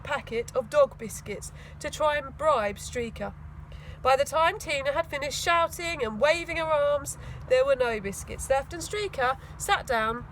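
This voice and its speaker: A woman reading a children's story aloud in an expressive, emphatic storytelling manner. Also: high voice, a little hiss or hum, poor audio. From England. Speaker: school teaching assistant reading aloud to children. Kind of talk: storybook read-aloud narration.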